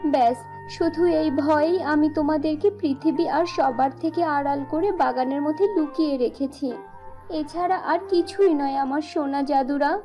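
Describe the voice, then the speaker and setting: A woman's voice: sits high, moderate pace, music in the background, voice pushed up, close to an everyday voice. A woman voicing a loving, anxious mother fairy in a children's cartoon, tender and doting. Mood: anxious, protective.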